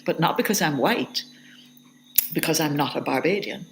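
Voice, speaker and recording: Woman, middle-aged, very low pitch, faint background noise. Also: expressive, moderate pace, creaky. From Jamaica. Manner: thoughtful, hesitant, with restarts. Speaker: literature professor. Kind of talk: reflective interview answers.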